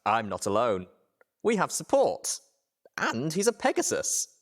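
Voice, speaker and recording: A man's voice: medium pitch, huge pitch swings, measured pace, pitched above the performer's own voice. A man voicing an impassioned young female pony in an audiobook-style story, exclaiming and pleading with strong emotion. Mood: pleading, insistent.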